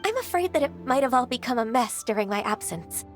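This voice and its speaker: A woman voicing a gentle, dutiful, ageless half-immortal secretary in a video game, speaking in an earnest, formal, soft-spoken way. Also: fairly high pitch, expressive, brisk. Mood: resolute. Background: background music.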